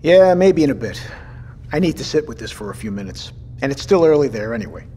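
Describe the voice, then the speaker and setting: Audio drama captain male actor: middle-aged, courteous leader, mid-pitched with lively intonation, long room reverb. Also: close to an everyday voice. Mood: pensive. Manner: measured, thoughtful. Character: measured, courteous male captain and father leading a settlement. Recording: reverberant room with faint noise.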